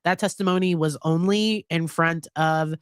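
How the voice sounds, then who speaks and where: A woman's voice: fairly low pitch, moderate pace, clear, resonant tone, dry recording, studio quality. Chatty, slightly nasal woman host. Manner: chatty, hesitant, many restarts and laughs. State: nasal from a head cold. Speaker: psychologist and livestream host. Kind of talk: casual live commentary.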